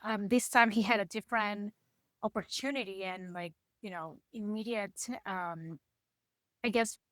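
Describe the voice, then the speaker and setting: A woman's voice: mid-range voice, a little slow, no echo. Spanish-speaking ecuadorian woman, middle-aged, chatty guest, hunts for english words, dry mic. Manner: lively, searches for English words. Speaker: guest musician. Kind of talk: casual interview chat.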